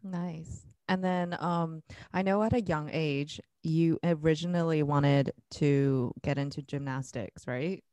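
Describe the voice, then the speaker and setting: A woman's voice: sits low, steady tone, measured pace, few pauses, mellow. A woman hosting a podcast interview, asking questions and giggling often. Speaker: podcast host. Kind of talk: casual interview chat.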